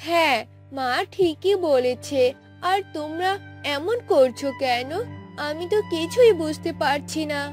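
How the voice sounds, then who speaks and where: A woman's voice: high voice, animated, unhurried, soft background music. A woman voicing a polite, innocent young newlywed bride in a cartoon, sweet and earnest. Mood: bewildered. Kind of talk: Bengali cartoon voice acting.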